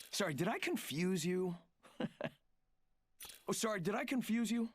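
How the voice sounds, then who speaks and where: A man's voice: fairly high pitch, fairly bright tone, no echo. An actor voicing a cocky, joking male trickster fighter in a video game, with smug, rambling asides. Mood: mock sympathy. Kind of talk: video game character voice lines.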